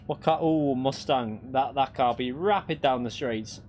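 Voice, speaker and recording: A man's voice: mid-range voice, measured pace, a little mellow, slight background noise. A young man with a Northwest English accent, chatting casually with his viewers during a sim racing stream and laughing easily. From England. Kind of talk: casual live-stream chat.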